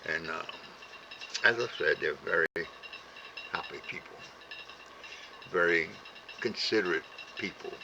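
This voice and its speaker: An older man with a Cajun accent speaks in a very deep, gravelly voice. He talks slowly and stops often to say "uh" and repeat words. The recording sounds dull and lo-fi, with a steady hiss underneath. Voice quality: gravelly, plain-spoken. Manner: halting, with many "uh" pauses and repeated words. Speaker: storyteller sharing heritage. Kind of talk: slow, rambling talk to camera.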